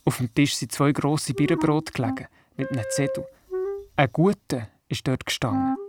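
A man's voice: fairly high pitch, huge pitch swings, normal speed. An actor playing a curious, eager young grandson who tells his story earnestly in a children's radio play, with a Swiss German accent. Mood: eager, narrating. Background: music.

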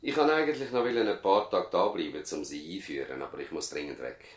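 An actor playing a courteous older country doctor in a radio play, speaking formally with a Swiss German accent. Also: male voice, low-pitched, brisk, breathy edge. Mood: courteous, businesslike. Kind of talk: 1970s radio drama dialogue.

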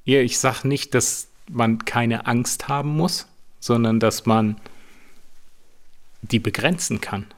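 A man explaining patiently and conversationally, measured and checking back with the listener. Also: mid-range voice, no echo. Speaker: investment explainer. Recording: music bed under voice. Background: drum music.

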